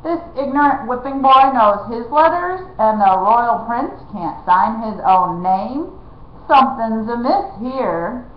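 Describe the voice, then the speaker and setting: A woman doing a loud coarse outlaw man. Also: fairly high pitch, animated, slow pace. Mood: suspicious. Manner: loud, coarse rustic talk. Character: big gruff male outlaw, crafty and bullying. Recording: roomy, slightly dull recording.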